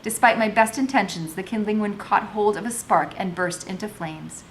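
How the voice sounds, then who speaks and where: Lady teacher reading a book out loud with casual commentary, mid pitch, little bit of noise and rumble. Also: normal speed. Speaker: teacher reading a novel aloud. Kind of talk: read-aloud narration with informal commentary.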